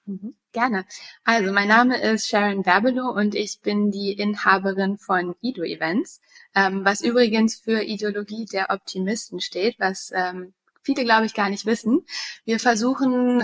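A woman in her thirties from Berlin, a podcast guest who talks in a relaxed way with many fillers and small laughs. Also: mid-range voice. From Berlin, Germany. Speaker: guest, wedding agency owner. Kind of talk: podcast interview.

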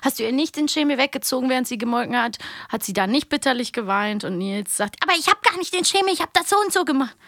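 A young woman chats casually and with enthusiasm as a co-host. Her voice is high and bright, recorded close and dry. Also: animated, fast, few pauses, dry recording. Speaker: podcast co-host. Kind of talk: casual chat.

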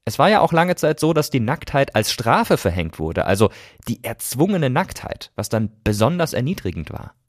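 A man presenting as a podcast host in a scripted, conversational moderating style. His voice sits at a middle pitch with animated intonation. The sound is close and dry. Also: moderate pace, no room sound. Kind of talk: scripted narration and interview moderation.

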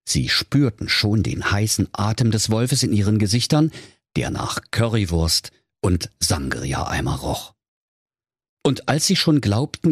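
A man's voice: lowish voice, husky, no echo, studio quality. A man narrating a comic fairy tale as a storyteller, in a dramatic storybook manner. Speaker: storyteller, podcast host.

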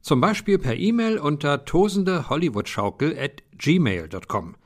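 A man's voice: fairly high pitch, big pitch swings, moderate pace. A man reading a scripted podcast outro in an upbeat way, listing contact details. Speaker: outro announcer.

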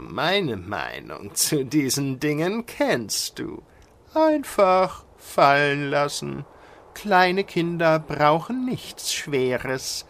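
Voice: mid-range voice, heavily acted. An actor voicing a sly, mischievous little devil in a fairy-tale audio drama, sardonic and savoring every word. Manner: sardonic, theatrical, savoring words.

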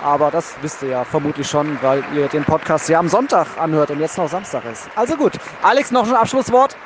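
A man's voice: fairly high pitch, fairly quick. A man hosting a podcast, giving live football commentary from the stands. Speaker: podcast host. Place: outdoors in a football stadium. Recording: loud stadium crowd and noise. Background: crowd noise and applause.

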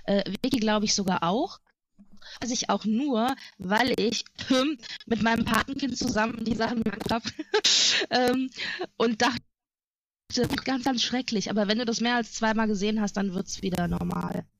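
A woman's voice: on the high side, moderate pace, background noise. A middle-aged woman, chatting casually and rambling as she reminisces on a podcast panel.

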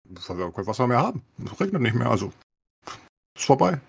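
A man's voice: mid-range voice, sing-song, quick pace, vocal fry, breathy edge. A man chatting casually on a podcast in colloquial language, with sardonic understatement. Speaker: podcast host.

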